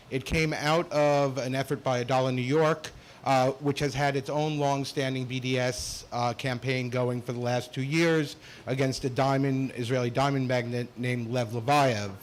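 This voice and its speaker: A man introducing himself as an activist at a public Q&A, speaking in a measured, informative way. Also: mid-range voice, slight background noise. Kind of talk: public Q&A comment. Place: lecture hall.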